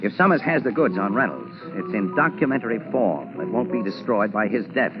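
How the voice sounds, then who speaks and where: An actor playing a brisk, tough, wisecracking American photographer with slangy, clipped 1940s radio delivery. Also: male voice, lowish voice, expressive, close to an everyday voice. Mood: speculative, keen. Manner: slangy, clipped, self-assured. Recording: muffled 1940s radio broadcast, music behind.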